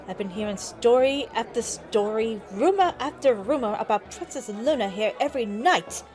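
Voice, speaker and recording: A woman playing a kindly, plain-spoken pony barmaid in an audio drama, folksy and gently protective. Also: a little high. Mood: weary, confiding.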